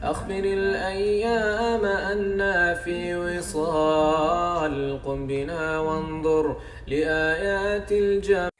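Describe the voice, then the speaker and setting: A woman's voice: lowish voice, fairly even intonation, brisk, faint music behind. Woman singing a cappella in an echoey room.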